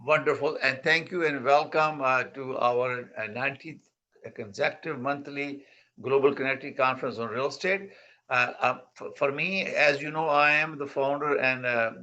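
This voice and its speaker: A man hosting an online real estate conference over a video call, talking in a halting way with repeated words. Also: mid-range voice, bright, forceful tone, lo-fi sound. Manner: halting, repeats words, non-native phrasing. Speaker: host and founder of the conference. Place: Mississauga near Toronto.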